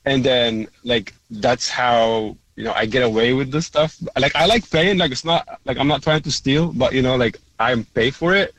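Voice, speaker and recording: A man's voice: medium pitch, moderate pace, few pauses, a bit bright, dry recording, low-quality recording. A man whose first language is Arabic, calling in to a radio show and chatting casually, rambling with many fillers and frequent laughs. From Lebanon. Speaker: caller. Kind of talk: casual radio call-in chat.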